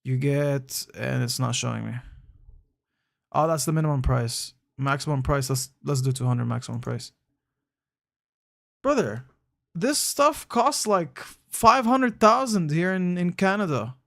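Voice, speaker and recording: Young guy streamer, kinda high voice, chill and chatty, says bro a lot, trips over his words. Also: animated, measured pace, gravelly, fairly bright tone. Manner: laid-back, slangy, calls chatters bro; thinks aloud with frequent restarts. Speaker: live streamer. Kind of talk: casual live-stream chat answering viewers.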